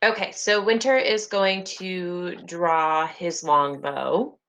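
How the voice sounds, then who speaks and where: A woman's voice: lowish voice, steady tone, slow, a bit bright. Casual, swearing woman player. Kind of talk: casual tabletop play. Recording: dull online call audio.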